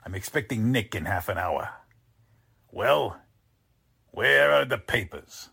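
A man acts out a con artist posing as a Count in a radio thriller. The voice is low and very raspy, with mock courtesy over open threat and lively swings in pitch. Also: slow pace, full character voice. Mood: cold threat. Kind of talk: radio drama acting in 1940s manner.

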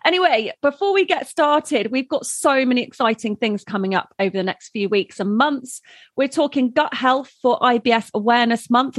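A woman, co-hosting a casual podcast chat, chatty and quick to laugh. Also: medium pitch, dry recording. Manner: chatty, laughs often, trails off with fillers. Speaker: podcast co-host.

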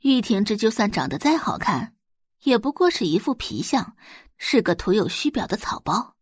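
A woman reading scripted dramatic fiction as an audiobook narrator, in an expressive storytelling manner. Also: mid-range voice, moderate pace, breathy edge, no echo, clean studio sound.

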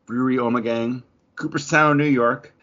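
A man's voice: mid-range voice, steady tone, moderate pace, fairly bright tone. A man chatting casually as a podcast co-host, opinionated and casually profane. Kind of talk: casual chat. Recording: dull lo-fi audio.

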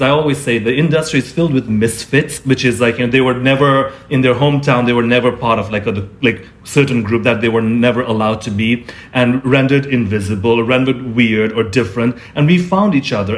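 Thoughtful man, hesitant, lots of fillers. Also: mid-range voice. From born in Singapore, grew up in Nepal and India. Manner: thoughtful, searching for words, many fillers. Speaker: fashion designer, interview guest. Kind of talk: conversational interview.

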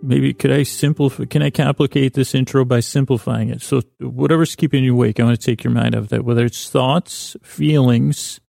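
A man with a gravelly, mellow voice hosts a bedtime podcast. He rambles in a soothing, low-key way, stumbling and doubling back on his own words. The recording is close and dry. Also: fairly even intonation, dry recording, faint music behind. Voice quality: creaky. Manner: rambling, halting, self-correcting. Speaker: sleep podcast host. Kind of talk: meandering bedtime monologue.

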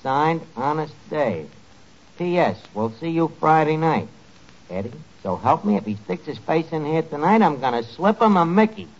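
An actor playing a scheming, wisecracking tavern manager with a dry, mock-formal way of mangling grammar in 1940s radio comedy. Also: male voice, a little high, sing-song, normal speed. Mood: dry, grumbling. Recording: muffled, noisy 1940s radio recording.

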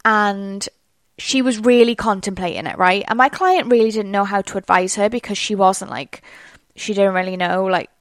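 A woman's voice: mid-range voice, no echo, studio quality. A young Welsh woman hosting a solo podcast, chatting in a rambling way full of fillers. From Wales. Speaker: podcast host. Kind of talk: casual solo podcast monologue.

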